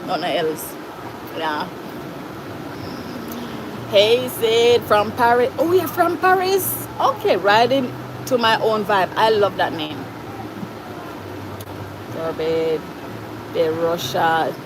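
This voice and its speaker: A woman's voice: medium pitch, animated, slow pace, long pauses, noisy background. A woman with a Jamaican accent hosting a casual livestream, reading out viewer comments in a chatty way and slipping into Patois phrases. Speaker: livestream host.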